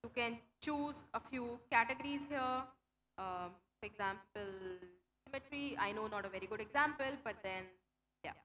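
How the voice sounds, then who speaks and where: A woman's voice: fairly high pitch, moderate pace, fairly bright tone, slight background noise. A young woman speaking English with an Indian accent, her first language Hindi, giving a conference tech talk with a live demo, explaining things with many fillers and restarts. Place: conference hall.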